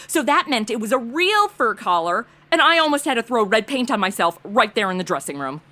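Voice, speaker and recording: A woman's voice: on the high side, big pitch swings, a little fast, sharp tone. An actress playing a brash, self-absorbed, ditzy young modern woman with sarcastic, slangy delivery in an audio comedy. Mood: sarcastic, breezy. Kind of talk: comedic audio drama acting.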